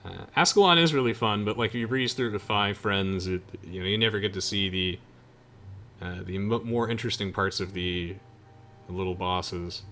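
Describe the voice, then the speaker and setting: A man's voice: low-pitched, moderate pace, pushed and bright. A young man hosting a gaming video, chatting casually and enthusiastically as he comments on the play. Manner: enthusiastic, gushing. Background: game music.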